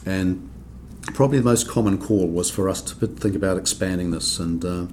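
A man from New Zealand with a New Zealand accent, explaining accounting rules on a podcast in a measured way, with frequent ums and restarts. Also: sits low, a little mellow, slight background noise. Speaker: accounting standards board member. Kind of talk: podcast discussion.

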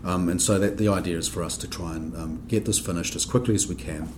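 A man with a New Zealand accent and a deep, low voice talks through technical points at a steady, explanatory pace on a podcast. He hesitates often with ums and restarts. Faint hiss sits under the voice. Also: quick pace. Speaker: accounting standards board member. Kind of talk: podcast discussion.

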